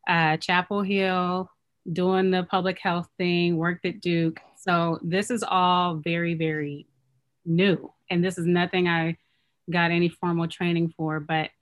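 A woman in her thirties from Oakland, a clothing business owner, talking casually and softly in an interview. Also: on the low side, flat delivery, measured pace. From Oakland, California.

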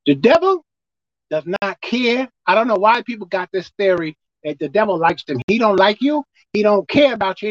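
A man with a squeaky voice preaching a sermon as pastor, emphatic and forceful. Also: no echo, highs cut off. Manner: emphatic, pitch leaping up and down.

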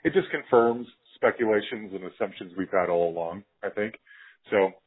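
An American man hosts a casual interview. His voice is a touch rough and sounds a little muffled, as on a lo-fi recording. He puts questions in a relaxed, conversational way. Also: fairly low pitch, moderate pace. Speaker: podcast host, interviewer.

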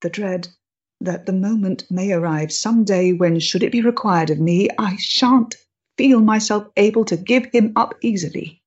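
A woman's voice: mid-range voice, normal speed, a little mellow, husky, dull audio, natural voice. An actress playing a proud, intense, troubled freethinking woman of the 1890s in a period stage drama, speaking emphatically and articulately. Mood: fearful, confessing.

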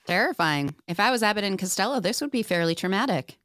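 A woman plays a sarcastic young heroine, brave but fed up, in an audio table read. The delivery is lively in pitch and recorded close, with no room sound. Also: fast talker, no room sound, natural voice. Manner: dry, sarcastic. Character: Buffy, wry, dejected young woman heroine, bossy and brave. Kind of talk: podcast script table read.

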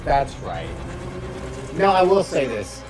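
A man's voice: mid-range voice, sing-song, slow pace. A man hosting a podcast, giving casual comedic movie commentary in a profane, mock-incredulous riffing way. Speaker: podcast host.

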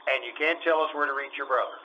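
A man playing a calm, persistent, businesslike police detective in 1950s radio drama style, clipped and matter-of-fact. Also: mid-range voice, quick pace, natural voice. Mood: probing. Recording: dull radio recording, faint noise.

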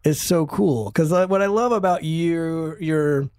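A man hosting a casual interview, relaxed and giving many short agreements. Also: fairly high pitch, unhurried, no echo, studio quality.

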